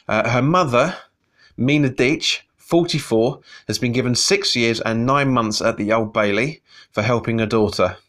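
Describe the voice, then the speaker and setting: A man's voice: medium pitch, a bit bright. A man hosting a casual news roundup vlog, sounding nasal from a cold, rambling, restarting sentences and getting heated in his opinions.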